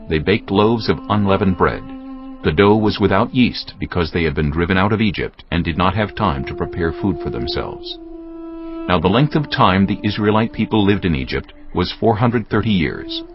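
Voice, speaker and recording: A man narrating scripture from a script in a measured, solemn way. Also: low-pitched, highs cut off.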